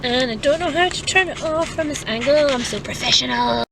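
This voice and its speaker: A woman's voice: high-pitched, fairly quick. Woman vlogging over background noise. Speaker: book vlogger. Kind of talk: casual vlog chat to camera.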